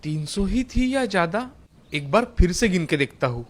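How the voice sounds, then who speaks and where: A man's voice: high voice, very animated, normal speed. A man playing a hard-working, secretive farmer husband in a moral-story cartoon, with theatrical, exclaiming delivery. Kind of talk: exaggerated Hindi moral-story cartoon dialogue.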